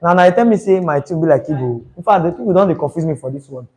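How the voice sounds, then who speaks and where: Lively high-voiced Nigerian tutor, man. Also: rapid-fire. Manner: chatty, teases class, mixes in Pidgin. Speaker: chemistry tutor. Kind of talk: live class lesson.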